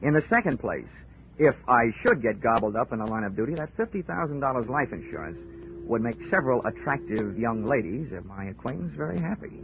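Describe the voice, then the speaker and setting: An actor playing a wisecracking, unflappable investigator in a clipped, wry 1940s radio drama style. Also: male voice, medium pitch, very animated, brisk, noisy background. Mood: brisk, cool. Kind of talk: 1940s radio acting, detective narration. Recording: muffled old radio recording, music behind.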